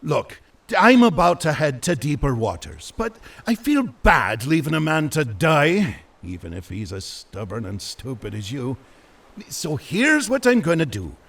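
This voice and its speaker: Old fisherman, gruff yet kind, higher-pitched, creaky, rustic muttering, fantasy podcast drama, slightly distant. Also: very animated, unhurried, a bit bright, full character voice. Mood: grudgingly kind. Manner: folksy, rustic, hesitant muttering. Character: gruff, kindly old mariner fisherman, stubbornly helpful. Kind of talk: fantasy audio drama acting.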